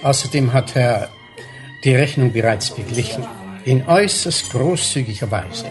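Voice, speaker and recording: Man as a fussy servile waiter, mid-pitched, prim, formal, deferential, radio play, music playing behind. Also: expressive, moderate pace, lightly acted. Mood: obsequious. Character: obsequious, prim male waiter, politely indignant. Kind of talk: radio drama dialogue.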